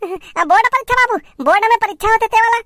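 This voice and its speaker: Squeaky, whiny Chhattisgarh cartoon schoolboy. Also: female voice, fast talker, fairly bright tone, dry recording, clean studio sound. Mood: cheeky, insistent. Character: pleading cheeky young schoolboy, eager to go singing. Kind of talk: comic cartoon dialogue.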